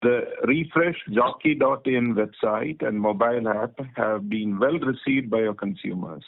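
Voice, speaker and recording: A man's voice: medium pitch, vocal fry. A man with an Indian accent giving formal prepared business remarks as a managing director, phrase by phrase. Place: conference phone call. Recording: thin, tinny phone line.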